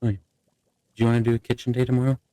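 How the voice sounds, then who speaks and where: Casting: man as considerate young fantasy courtier, game roleplay. Mid-pitched, mellow, dry sound, casual and unforced. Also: completely flat delivery, rapid-fire, dry recording, close to an everyday voice. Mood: easygoing, considerate. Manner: casual, gently checking in. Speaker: player in a Minecraft roleplay. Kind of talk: casual improvised roleplay dialogue.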